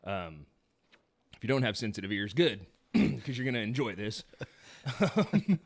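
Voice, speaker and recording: A man's voice: fairly low pitch, very animated, unhurried. Laid-back man hosting a livestream. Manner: laughs often, loose and joking, many fillers. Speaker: podcast host. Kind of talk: casual livestream chat.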